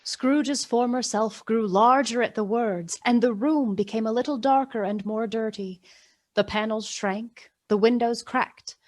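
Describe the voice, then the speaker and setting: A woman narrating a literary radio play in a measured, dramatic and deliberate way. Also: a little high, darkish tone, slight background noise, natural voice.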